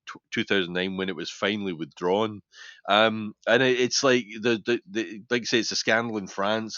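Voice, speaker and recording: Deep-voiced man co-hosting a podcast. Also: a bit bright, no echo. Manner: explains at length, hesitant and repetitive. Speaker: podcast co-host. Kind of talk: casual podcast discussion. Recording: dull, dry podcast audio.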